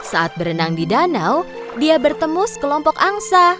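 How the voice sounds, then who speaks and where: Lively woman narrating a kids' story. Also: high voice, moderate pace. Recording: narration over loud background music.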